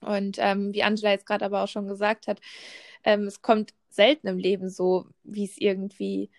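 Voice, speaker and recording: A woman's voice: medium pitch, completely flat delivery, moderate pace. A pregnant woman in her twenties in a casual chat, talking with lots of fillers and restarts. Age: 20s. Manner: chatty, many fillers and restarts.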